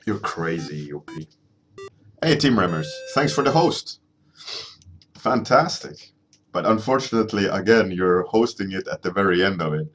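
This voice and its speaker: A man in his thirties from Sweden with a deep voice, chatting casually and rambling as he streams himself drawing, answering his chat at a desk microphone. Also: animated, fairly bright tone, slight background noise. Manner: rambling, halting, many ums and restarts, chuckling, answering chat. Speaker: artist streaming a drawing session.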